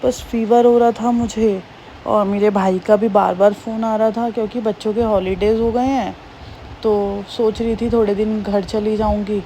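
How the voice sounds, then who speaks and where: A woman in her thirties with a slightly hoarse voice, chatting homely and rambling through her daily vlog. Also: medium pitch, steady tone, moderate pace, mellow, a little hiss or hum, rough recording. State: hoarse, slightly sore throat. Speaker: vlogger. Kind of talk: casual daily-life vlog narration.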